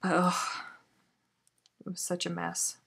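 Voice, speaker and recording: A woman's voice: on the low side, completely flat delivery, slow, vocal fry, a bit bright, slightly rough. Chatty woman hosting a live craft stream. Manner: chatty and self-deprecating, with sighs and laughs. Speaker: host of a live crochet stream. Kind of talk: casual chat while crocheting, reading viewer comments.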